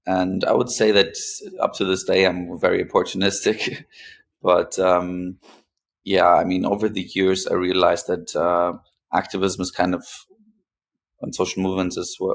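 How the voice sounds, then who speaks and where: Deep, gravelly man speaking hesitantly. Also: little pitch movement, slight background noise. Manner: hesitant, pausing often between phrases. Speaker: podcast guest, philanthropist. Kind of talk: interview conversation, recounting own experience.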